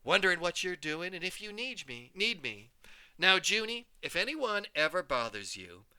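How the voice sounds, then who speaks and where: A warm-hearted, worried working mother, read by a man doing a read-along. She speaks with soothing tenderness. Her voice rises and falls in a lively way and sounds bright. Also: sits high, moderate pace, smooth, voice pushed up, exaggerated voice, rough recording. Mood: tender.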